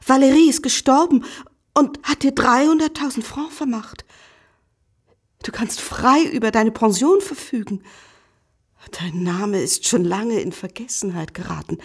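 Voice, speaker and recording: A devoted wife who has suffered long and still pleads with tenderness. Her voice is fairly high and breathy, often hushed with emotion. The delivery is that of a radio drama. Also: full character voice. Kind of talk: German radio drama acting.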